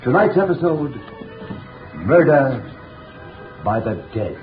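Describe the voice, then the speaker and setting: A man announcing and narrating in an emphatic, booming 1930s radio style. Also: very animated, slow. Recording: tinny 1930s radio broadcast with music.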